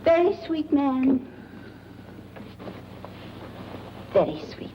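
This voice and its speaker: A woman's voice: high-pitched, big pitch swings, unhurried. An actress playing a fretful, scolding mother in an emphatic 1940s film style. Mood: pleased, prim. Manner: fussy, emphatic. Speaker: actor. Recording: dull, noisy 1940s film soundtrack.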